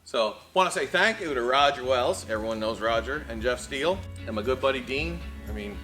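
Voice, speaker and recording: A man with a Canadian Maritime accent tells an anecdote in a bright, forward voice at a middle pitch. His delivery is folksy and conversational, with animated turns as he hosts. Faint music plays behind him. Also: a little fast, low-quality recording. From Prince Edward Island, Canada. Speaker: car dealership storyteller and host. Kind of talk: casual anecdotal storytelling.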